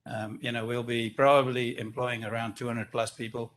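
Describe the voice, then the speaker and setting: South African business owner pitching his project at a council mic, expansive and upbeat, faint noise behind. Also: level tone, normal speed, a bit bright. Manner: enthusiastic, expansive, self-deprecating. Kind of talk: public comment at a council meeting. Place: council chamber. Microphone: speaking at a stand-up microphone.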